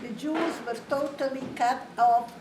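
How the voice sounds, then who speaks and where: An older woman from Romania, giving a slow, plain, matter-of-fact spoken testimony to an audience. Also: high voice, steady tone. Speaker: Holocaust survivor speaker. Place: community hall. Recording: roomy, distant, faint hiss.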